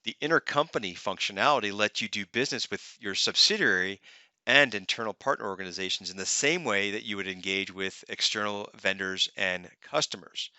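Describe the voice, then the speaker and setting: A man narrating a software tutorial as a demonstrator, explaining step by step in a conversational way, close to the microphone. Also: on the low side, brisk, dry recording. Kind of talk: narrated software tutorial, semi-scripted walkthrough. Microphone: close microphone, screen-recording voice-over.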